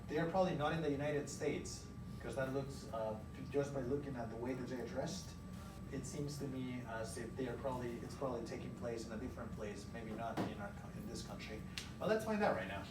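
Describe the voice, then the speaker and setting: A man teaching a class through an interactive read-aloud, pausing to question and explain as he goes. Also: mid-range voice. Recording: echoey room, far from mic, loud noise.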